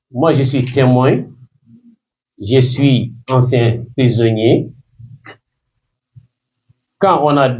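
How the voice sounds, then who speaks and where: A man's voice: medium pitch, darkish tone. Earnest man testifying from a distance. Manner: earnest testimony, switching languages. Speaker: activist and speaker. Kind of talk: public speech. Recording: muffled, distant recording.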